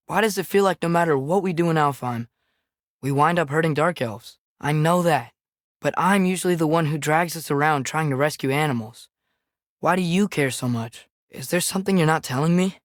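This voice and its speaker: A man's voice: fairly high pitch, moderate pace, no echo, clean studio sound, lightly acted. A young male actor voicing an earnest, compassionate teenage boy adventurer in a video game, with an American accent and a questioning, casual way of talking. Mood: curious, concerned. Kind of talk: naturalistic video game character acting.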